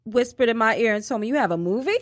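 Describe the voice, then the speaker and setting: A woman's voice: fairly high pitch, lively intonation, fast. A woman hosting a casual radio show, bantering in slang with playful interjections. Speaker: radio host.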